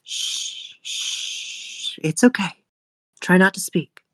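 A woman voicing a caring mother who speaks in a soothing, hushed way. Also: on the low side, normal speed, no echo, pitched above the performer's own voice, close to an everyday voice. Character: caring mother, gentle, fierce when angered.

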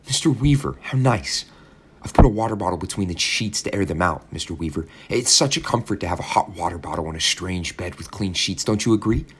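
A man's voice: low-pitched, animated, brisk, slightly rough. A man voicing a fussy, overly sweet older landlady who coos and gushes at her guest. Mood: cooing. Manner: fussy, cooing and gushing.

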